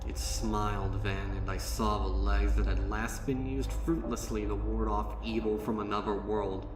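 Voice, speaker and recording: A man's voice: on the low side, recorded from a distance, lightly acted. A man as a haunted, horrified storybook pony, echoing. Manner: dramatic, halting, haunted delivery. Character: anguished, horrified pony recounting burning a possessed friend. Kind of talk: first-person horror monologue in fan fiction reading. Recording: echoey voice with music underneath. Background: music.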